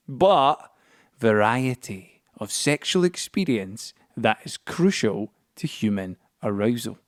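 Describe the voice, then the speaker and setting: Nervy playful storyteller, slow-paced, lively swooping pitch, mock lecture, chatty, audio drama. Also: male voice, no echo, clean studio sound. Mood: measured explaining. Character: playful, nervy male storyteller explaining a science experiment. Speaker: actor and narrator in an audio play. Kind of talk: theatrical storytelling addressed straight to the listener. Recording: music bed under the voice. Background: music.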